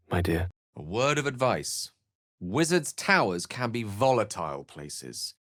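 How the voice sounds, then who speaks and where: A man's voice: deep voice, a bit bright, no echo, clean studio sound, full character voice. A man voicing an eloquent, bookish wizard in a video game, articulate and theatrical, with ornate scholarly phrasing and a wry streak. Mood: courteous, wry. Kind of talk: scripted video game character dialogue.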